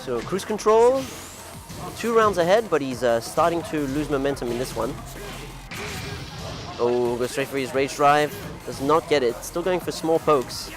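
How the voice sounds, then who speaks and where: A man's voice: fairly high pitch, animated, moderate pace. A man casually commentating a fighting game tournament, chatty and rambling, who laughs at his own clumsy phrasing; English is not his first language. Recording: music playing loudly behind.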